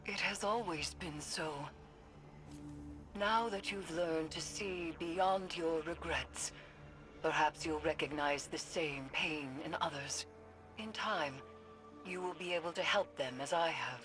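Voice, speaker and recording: An actress playing a serene, compassionate mystic mentor in a video game, speaking calmly with formal, measured phrasing. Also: female voice, sits low. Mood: gently encouraging. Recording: music behind the voice.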